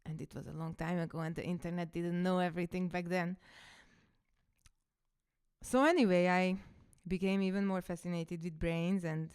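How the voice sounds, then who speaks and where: Smooth-voiced Hungarian woman reflecting. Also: on the low side, flat delivery, moderate pace, a little mellow. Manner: reflective, storytelling. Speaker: coach and podcaster. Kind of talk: casual personal monologue.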